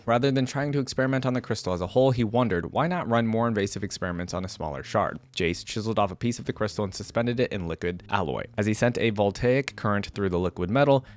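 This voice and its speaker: Guy w a lowish voice reading lore out loud, chatty casual asides like a reaction video. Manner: informal, filler-heavy asides between read passages. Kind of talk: reading a story aloud with casual commentary.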